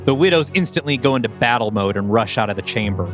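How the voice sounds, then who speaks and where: A man's voice: mid-range voice, expressive, fast. A man reading scripted stage directions as the narrator of a dramatic audio play, with music behind him.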